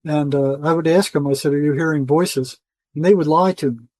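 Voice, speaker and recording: A man's voice: on the high side, fairly even intonation, a little fast, darkish tone, no echo. An older man talking as an interview guest in an insistent, emphatic way, repeating phrases for stress. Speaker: interview guest, retired psychotherapist. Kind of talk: conversational interview monologue.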